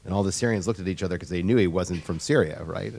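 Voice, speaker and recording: A man with a notably low voice answers a question on a discussion panel. He talks in an explanatory way, stopping and restarting his sentences often as he works through his points. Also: vocal fry, a little mellow. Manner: halting, many restarts, explanatory.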